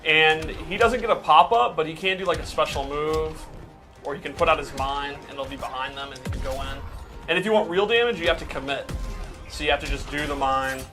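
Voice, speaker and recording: A man's voice: fairly high pitch, fairly quick, music in the background. A man giving a casual, explanatory breakdown in the style of gameplay commentary. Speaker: game commentator breaking down a character.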